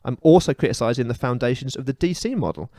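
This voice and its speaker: A man with a mid-pitched voice co-hosts a casual podcast. He gives his opinions with emphasis and conviction. The recording is close and dry, with no room echo. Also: very animated, fast talker, warm tone. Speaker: podcast co-host. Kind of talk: casual opinionated podcast chat about pop culture.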